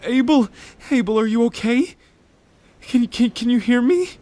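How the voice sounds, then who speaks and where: A man's voice: very high-pitched, expressive, a little slow, mellow. A man playing a timid, loyal young half-merman in a fantasy audio drama, stammering and pleading with emotion. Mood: worried.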